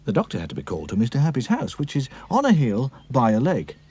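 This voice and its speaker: A man narrating a children's cartoon story in an expressive storybook style. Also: mid-range voice, fast, a crowd in the background.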